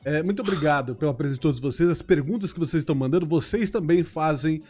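A man hosts a casual podcast in a slightly high voice, upbeat and speaking straight to his viewers in the chat. Faint music plays underneath. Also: quick pace, a little mellow. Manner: upbeat, addresses the chat audience. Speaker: podcast host.

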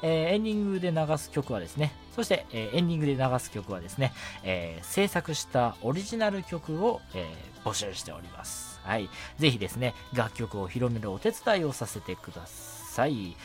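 A man's voice: medium pitch, very animated, brisk, few pauses. A young man hosting a casual solo radio show, talking politely and chattily with frequent short affirmations. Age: young adult. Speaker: radio show host, amateur voice actor. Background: background music.

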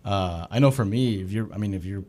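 Man, podcast host, lowish voice, loose casual interviewer. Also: fairly quick, warm tone. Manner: easygoing, repeats and restarts phrases.

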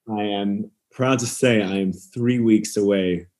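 A man's voice: on the low side, fairly even intonation, a little slow, darkish tone. A man in his thirties, raised in Australia, chatting modestly as a podcast guest, with many "you know" fillers. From Scotland, raised in Western Australia. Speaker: guest, opera singer and nutritionist. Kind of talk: relaxed conversational interview.

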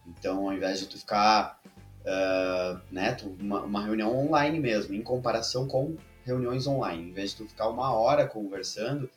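A man's voice: on the low side, normal speed, soft background music. Guy chatting on a podcast, laid back, lots of "é" fillers, echoey room. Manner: relaxed, conversational, hesitant fillers. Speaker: podcast co-host. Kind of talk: casual chat.

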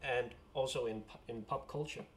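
A man's voice: medium pitch, steady tone, moderate pace, a bit bright. A man with a Danish accent, a band member answering in English with many fillers while he searches for words. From Denmark. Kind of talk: interview answers.

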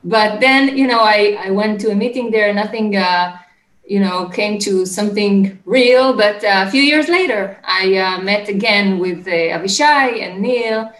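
A middle-aged woman with an Israeli accent, answering interview questions conversationally in a halting, searching way. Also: mid-range voice, fairly bright tone, reverberant, rough recording. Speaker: interview guest, startup executive. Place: home office, remote call.

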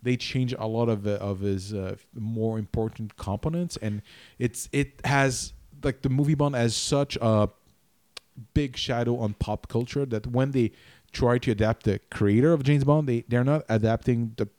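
Halting man in casual film talk, dry sound. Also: medium pitch, steady tone, a little mellow, no room sound. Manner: halting, often restarts sentences mid-thought. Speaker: podcast co-host.

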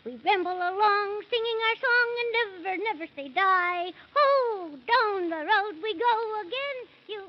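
A woman's voice: very high-pitched, expressive, a little slow, hardly any pauses. A woman playing a plucky, cheerful six-year-old boy who talks in sing-song rhymes in a 1930s radio serial. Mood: brave, cheerful. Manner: sing-song rhyming delivery. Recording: muffled, noisy 1930s radio recording.

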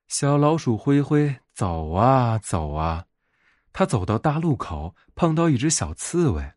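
A man narrating a bedtime fairy tale as a storyteller, in an expressive scripted read. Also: medium pitch, no room sound, clean studio sound.

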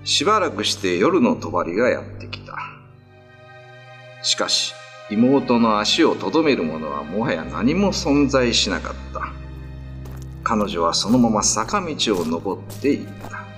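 A man's voice: fairly low pitch, sing-song, measured pace, a bit bright, faint music behind. A man narrating an old ghost story in a scripted, dramatic radio reading style.

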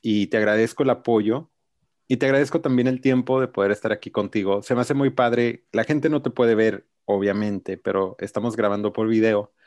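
A man's voice: lowish voice, fairly even intonation, moderate pace, darkish tone, no room sound. A man hosting a podcast, chatting in a friendly, easygoing way with his guest. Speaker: podcast host. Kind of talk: casual interview chat.

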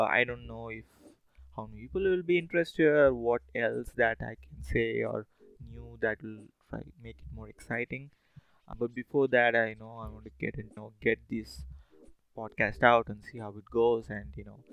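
A man's voice: on the low side, creaky, dull audio. A man hosting a podcast solo, talking casually in a rambling, hesitant, informal way. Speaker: podcast host.

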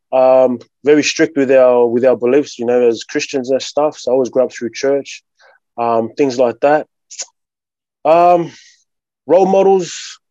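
A man's voice: medium pitch, warm tone, no echo, highs cut off. A man from Sydney with an Australian accent, talking casually as a guest and filling his speech with "you know" and "bro". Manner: laid-back, lots of "you know" and "bro". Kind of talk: casual interview talk.

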